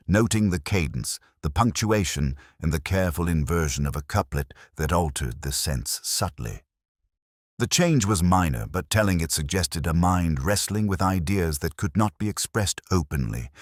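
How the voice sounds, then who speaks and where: A man narrating a scripted first-person detective story in a measured, formal, literary manner. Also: a bass voice, dry recording, studio quality.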